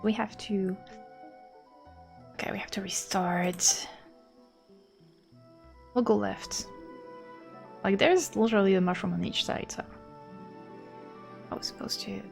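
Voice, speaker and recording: A woman's voice: medium pitch, steady tone, takes it slowly, gravelly, pauses often. A woman streamer giving casual live commentary while playing a game. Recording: faint game music behind.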